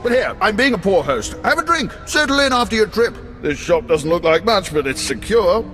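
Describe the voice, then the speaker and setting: A wry, chatty spy chief. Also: male voice, high-pitched, very animated, moderate pace, a bit bright, in a large hall, soft background music. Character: wry older male spy station chief.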